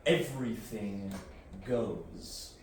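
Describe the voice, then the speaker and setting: An actor playing an aloof, coldly superior post-human warrior in a sci-fi audio drama, speaking in deliberate, halting, solemn phrases. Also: male voice, on the low side. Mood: detached, resigned. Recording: very echoey, distant, faint noise.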